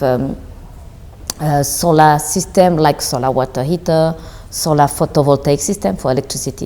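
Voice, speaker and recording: Woman ceo in her 40s talking slow and halting, mellow gravelly voice. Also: low-pitched, in a large hall. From Belgium, Rwanda and DR Congo. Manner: thoughtful, halting, with frequent restarts and uh's. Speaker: CEO of a solar company, guest. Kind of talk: conversational interview answers.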